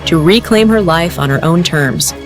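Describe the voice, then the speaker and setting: A woman's voice: on the low side, lively intonation, normal speed, music in the background. A woman narrator reading scripted dramatic story recap.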